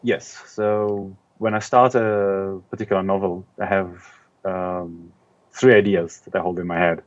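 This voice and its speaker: A middle-aged man from Israel, an author being interviewed, speaking in a thoughtful, casual way with many pauses and ums. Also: sits low, level tone, highs cut off.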